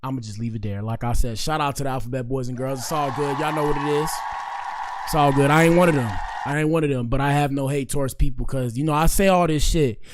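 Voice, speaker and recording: Hoarse, slangy man hosting a livestream. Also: mid-range voice, steady tone, quick pace, noisy background. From Miami-Dade County, Florida. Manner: loose, slangy, rambling, talks straight to the chat. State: hoarse, has partly lost his voice. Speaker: podcast host. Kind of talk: casual livestream commentary.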